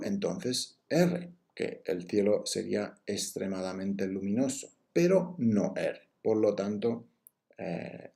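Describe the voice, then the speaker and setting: A man's voice: on the low side, creaky, mellow. A man giving a recorded university lecture on logic, explaining step by step with frequent fillers and restarts.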